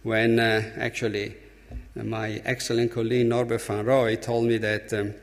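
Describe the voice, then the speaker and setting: Male conference speaker, Italian accent, emphatic and halting, mid-pitched voice in a hall with long reverb. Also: little pitch movement. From Italy. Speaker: speaker at a symposium opening. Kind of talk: conference speech.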